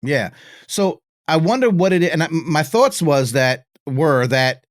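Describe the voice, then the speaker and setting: A middle-aged man hosting an interview, musing in a relaxed, reflective way. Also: fairly high pitch, a little slow, no room sound, clean studio sound. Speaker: podcast host. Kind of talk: casual interview chat.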